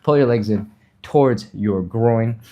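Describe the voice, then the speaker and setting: A man's voice: medium pitch, unhurried, dull audio. A man with a slightly nasal voice and a mild cold, casually coaching a live stretching session step by step. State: mild cold, a little sniffly. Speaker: fitness instructor, livestream host.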